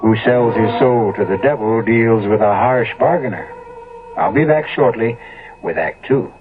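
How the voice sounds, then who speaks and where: A man narrating a 1970s radio drama as host, ominous, formal and measured. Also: fairly low pitch. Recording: thin, phone-like old radio recording. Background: music.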